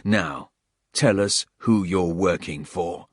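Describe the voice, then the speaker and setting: Guy doing a tough soldier interrogating someone, low husky growl, slow. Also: dry recording, clean studio sound. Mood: menacing. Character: hard, menacing male soldier interrogator.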